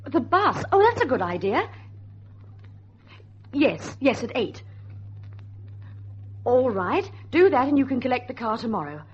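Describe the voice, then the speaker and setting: A woman's voice: high voice, very animated, a little slow, pauses often, breathy edge, full character voice. An actress playing an anxious, impatient woman in an old-time radio drama, speaking with urgent, exasperated emphasis. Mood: anxious, relieved. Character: Mary, anxious, impatient younger woman worrying over her man. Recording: muffled, noisy old radio recording with hum.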